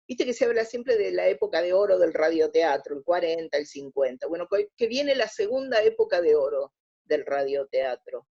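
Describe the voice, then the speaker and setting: A woman's voice: mid-range voice, vocal fry, darkish tone, husky, lo-fi sound. An Argentine woman answering as an interview guest in a conversational, explanatory way, often rephrasing mid-sentence. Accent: Argentine Spanish. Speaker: interview guest, radio drama researcher.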